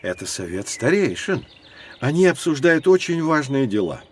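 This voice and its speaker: Knowledgeable professor guiding children. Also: male voice, fairly high pitch, sing-song, normal speed, a little hiss or hum. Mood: patient, explanatory. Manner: explanatory, lecturing. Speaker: educational guide. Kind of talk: scripted educational audio drama.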